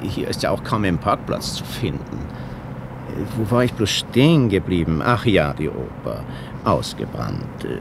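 An actor playing a placating, chatty official driving through town in a 1960s radio drama. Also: male voice, low voice, sing-song, measured pace, gravelly, exaggerated voice. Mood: glib, placating. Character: breezy, soothing chauffeuring official, chatty tour guide. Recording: loud vehicle and street noise.

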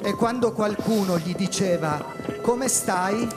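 A man's voice: high-pitched, takes it slowly. A man narrating a scripted piece in a theatrical storytelling style. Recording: loud music behind the voice.

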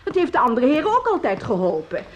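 A woman's voice: high voice, big pitch swings, fast talker, slight background noise. An actress in a radio drama playing an anxious, motherly landlady, deferential and flustered, hesitating and repeating herself. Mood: flustered, worried.

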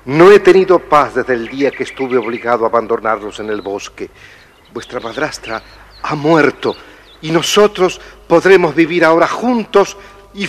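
A man plays a relieved, loving father in a storybook drama. His mid-pitched voice is tender and melodramatic. A faint noise runs under the old recording. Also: natural voice.

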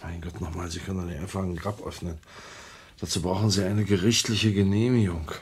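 An actor playing a sober, sceptical village police inspector in a radio crime drama, questioning and reasoning matter-of-factly. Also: male voice, sits low, fairly even intonation, fairly quick, slight background noise, natural voice. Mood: groggy, exasperated.

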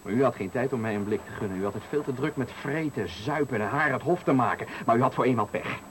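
An actor in a Dutch radio play playing a taunting, menacing stranger who speaks with deliberate, needling politeness in a Netherlands accent. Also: male voice, medium pitch, natural voice. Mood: coldly accusing. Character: menacing, taunting male stranger cornering a man at night. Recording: faintly noisy 1980 radio recording.

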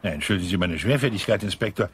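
A pompous, slow-witted colonel. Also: male voice, low-pitched, lively intonation, slight background noise. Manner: fussy and polite, with hearty laughs. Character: pompous, dim British colonel. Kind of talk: radio drama acting.

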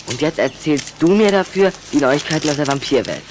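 A man's voice: a little high, lively intonation, brisk, noisy background, close to an everyday voice. A male actor playing an earnest schoolboy in a children's audio drama, precise and reproachful in his way of talking. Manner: matter-of-fact, reproachful, precise. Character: earnest schoolboy, the vampire's indignant human friend.

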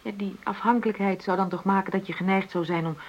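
A woman's voice: medium pitch, steady tone, fast talker, highs cut off, lightly acted. An actress playing a frustrated middle-aged wife in a radio play, insistently arguing for equality in her marriage. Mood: earnest. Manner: argumentative, insistent, reasoning. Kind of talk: naturalistic Dutch radio play dialogue.

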